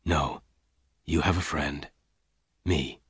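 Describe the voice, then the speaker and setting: Man voicing a very deep, calm gunfighter. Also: breathy edge, no echo, studio quality, exaggerated voice. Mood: calm, gentle. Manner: calm, slow, gentle but hard-edged. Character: calm, slow-talking male gunfighter of the Old West. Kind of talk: audiobook character dialogue.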